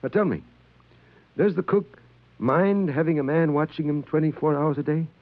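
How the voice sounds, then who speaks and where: A muffled vintage radio recording of an actor as a stern male ship's captain. The voice sits a bit high for a man and is commanding and clipped, questioning with open suspicion. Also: normal speed, lightly acted. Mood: stern, probing. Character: stern, suspicious male spaceship captain interrogating his crew. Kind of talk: old-time radio drama acting.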